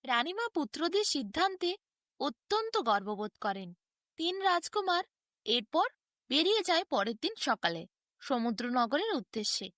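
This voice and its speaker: A woman's voice: high voice, a bit bright, no echo, clean studio sound. A woman narrating a fairy tale as a bedtime story, with a sing-song storytelling delivery. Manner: sing-song storytelling with wide rises and falls.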